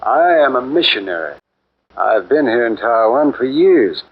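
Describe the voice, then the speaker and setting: An earnest missionary lays out the facts in steady, explanatory tones in a 1940s radio play. His pitch moves around a lot, and the sound is dull, like an old broadcast. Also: measured pace, husky, full character voice.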